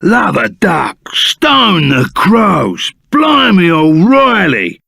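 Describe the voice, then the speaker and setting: An actor playing a loyal, excitable reformed bandit sidekick in a video game, with a Cockney accent and blunt, slangy speech. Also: male voice, high-pitched, extremely slow, no echo, studio quality, heavily acted. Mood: astonished. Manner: rough colloquial slang, blunt and emphatic. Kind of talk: video game character voice acting.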